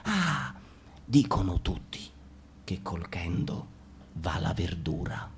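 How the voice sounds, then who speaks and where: A man's voice: low-pitched, sing-song, slow, gravelly, a little hiss or hum, full character voice. A man playing a cocky, self-destructive young slacker in a radio drama, rambling and interrupting himself as he confesses. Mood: rambling, detached. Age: young adult. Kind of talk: theatrical radio drama monologue.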